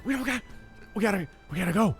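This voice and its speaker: Man voicing a frantic bumbling thief, high-pitched, gravelly, stammering. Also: expressive, fast, soft background music, exaggerated voice. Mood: panicked. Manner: stammering, panicky, rambling. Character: flustered bumbling male thief Larry.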